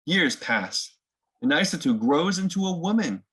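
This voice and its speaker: A man's voice: on the high side, expressive, unhurried, a bit bright. A man hosting a children's picture book read-aloud, mixing story narration with casual explanatory asides.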